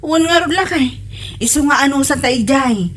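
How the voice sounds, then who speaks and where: A woman's voice: sits high, animated, normal speed, slight background noise. A woman with an Ilocano Filipino accent acting an eager, chatty village wife looking for work in a comedy audio drama.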